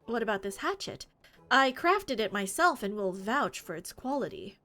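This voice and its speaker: A woman's voice: a little high, very animated, normal speed, sharp tone, full character voice. A woman voicing a proud, dapper male lizardfolk toolmaker in a fantasy game, with formal, wordy phrasing. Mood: pleased, boastful. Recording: music behind the voice.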